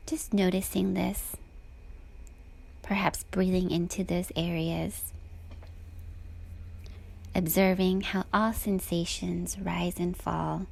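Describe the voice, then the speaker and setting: A woman with a soft, breathy voice guides a body scan meditation in a calm, gentle, unhurried manner. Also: lowish voice, rough recording.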